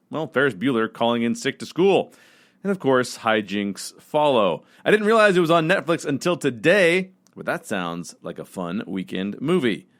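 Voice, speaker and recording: Casting: male podcast host, mid-pitched, lively swinging intonation, conversational scripted promo read.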